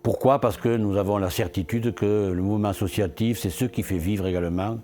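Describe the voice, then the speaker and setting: A man's voice: on the low side, steady tone, brisk, warm tone. A man speaking earnestly as an elected local official in a promotional interview, posing questions and answering them himself. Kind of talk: promotional interview testimony about local achievements.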